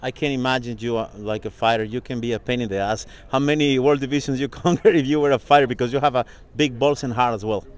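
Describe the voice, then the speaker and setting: A man whose first language is Spanish interviews in English, effusive and openly emotional. He laughs often between his questions and talks in a middle-pitched voice. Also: fast, few pauses, smooth.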